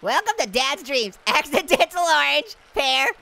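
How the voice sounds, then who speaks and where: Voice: sits high, big pitch swings, unhurried, vocal fry, pushed and bright, a little hiss or hum, exaggerated voice. A performer voicing a cocky, joking talking orange who comments on a game, goofy and full of yelps. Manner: goofy, jokey, yelps and laughs. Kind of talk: cartoon character playing a game on camera.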